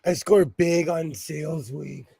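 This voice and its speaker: Man, higher voice, slightly hoarse, chatty livestream host, dry close sound. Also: slow, dark tone, dry recording. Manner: chatty, apologetic, laughs often. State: slightly hoarse, losing his voice. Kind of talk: casual livestream chat.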